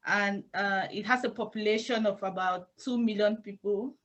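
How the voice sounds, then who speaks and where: A woman with a Cameroonian accent answering interview questions as a church intern, in formal, halting, measured phrases. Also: mid-range voice, steady tone, a bit bright, muffled recording.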